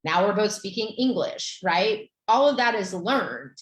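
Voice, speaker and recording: A woman's voice: mid-range voice, lively intonation, a little slow. Romanian-born woman coaching in an echoey room. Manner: gentle, many fillers like "um" and "like". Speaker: podcast host, therapist. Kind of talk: guiding an anxiety tapping exercise.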